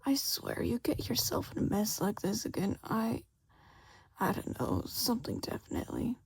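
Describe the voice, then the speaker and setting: Woman reading as weary husband, worried, tenderly scolding, hushed rough murmur, breathy, audiobook fiction. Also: a little high, sing-song, moderate pace, heavily acted. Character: exhausted worried husband, low-voiced man, tender scolding.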